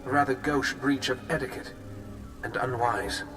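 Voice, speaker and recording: An actor playing a suave, haughty nobleman of an assassin house in a video game, with a pompous, measured, coolly menacing manner. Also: medium pitch, music in the background, close to an everyday voice, poor audio. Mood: smug disdain. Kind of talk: scripted video game acting.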